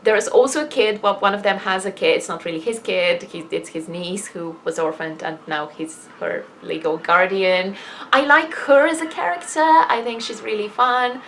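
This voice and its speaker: A woman's voice: medium pitch, moderate pace, fairly bright tone, slight background noise. A woman chatting casually in a personal vlog, candid and self-deprecating, rambling along with emphatic asides. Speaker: vlogger and fiction writer.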